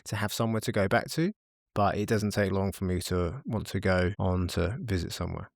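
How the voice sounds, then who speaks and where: A man's voice: low-pitched, fairly even intonation, a little fast, creaky, no room sound, clean studio sound. A British man in his thirties, a guest in a casual interview, speaking frankly and often repeating words as he thinks. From United Kingdom. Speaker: podcast guest.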